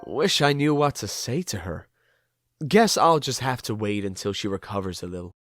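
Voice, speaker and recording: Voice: medium pitch, expressive, normal speed, faint music behind, lightly acted. An actor playing a bewildered, polite amnesiac spirit with dry wit in a video game fan dub, hesitant and given to exaggerated surprise. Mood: musing narration. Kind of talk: dramatic fan dub with inner monologue.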